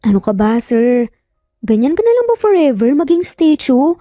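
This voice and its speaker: A woman narrator plays a sassy young nanny, feisty and easily exasperated. The voice is fairly high and dramatic, recorded dry with music under it. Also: sing-song, normal speed, mellow, no room sound. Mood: sarcastic.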